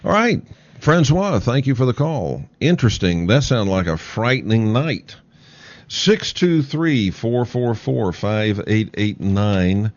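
A man hosting a call-in radio show, talking in a halting way with many pauses and fillers. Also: on the low side, animated, dry recording, lo-fi sound. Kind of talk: late-night call-in radio talk.

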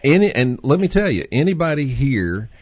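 A man's voice: medium pitch, sing-song, normal speed, a little mellow, slightly rough, dry recording, lo-fi sound. Texan man hosting a talk show. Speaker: host. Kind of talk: casual chat on a call-in show.

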